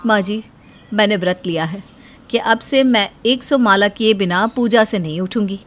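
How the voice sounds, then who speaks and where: A woman plays a young Indian housewife, the jealous elder daughter-in-law of a cartoon moral tale. Her voice sits at a middle pitch. She sounds pointed and scheming, always justifying herself. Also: moderate pace, a bit bright, a little hiss or hum, lightly acted. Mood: smug. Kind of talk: Hindi moral-story cartoon dialogue.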